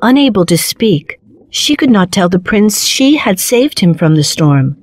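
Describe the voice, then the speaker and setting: A woman narrating a children's fairy tale in a measured, clearly phrased storytelling manner. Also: mid-range voice, very animated, darkish tone. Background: background music.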